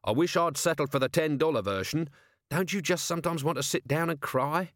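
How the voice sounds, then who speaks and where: A man's voice: a little high, fairly quick, a bit bright, no echo, clean studio sound, voice pushed up. A man voicing a scruffy, awkward soldier who bluffs and flusters. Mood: bluffing.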